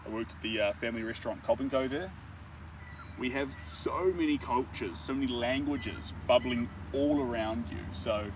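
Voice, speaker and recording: A young man with a New Zealand accent, an artist chatting casually to viewers during a live stream, rambling and self-mocking. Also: mid-range voice. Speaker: artist livestreaming. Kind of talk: casual live chat while painting. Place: outdoors. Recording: distant mic, steady outdoor and traffic noise.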